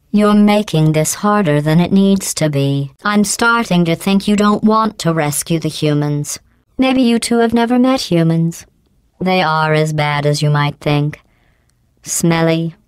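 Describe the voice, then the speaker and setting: A woman voicing a cold, sarcastic female AI computer that runs test courses, speaking in a deadpan, condescending, passive-aggressive way with a smooth, synthetic-sounding voice. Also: sits low, no room sound. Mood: irritated. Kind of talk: video game voice clips. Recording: dry studio recording.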